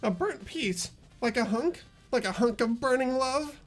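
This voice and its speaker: A playthrough host reading a video game's narration aloud in an expressive storytelling way. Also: high-pitched, unhurried. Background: faint music.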